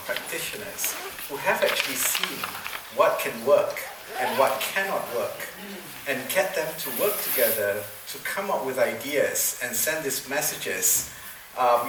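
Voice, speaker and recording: A man from Malaysia, a forum's program director, answering on a conference panel, thoughtful and full of fillers and restarts. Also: medium pitch. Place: conference room. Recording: echoey room, far from mic, noisy.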